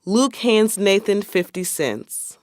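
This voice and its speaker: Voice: medium pitch, animated, slow. A narrator reading stage directions for a radio play as scripted narration.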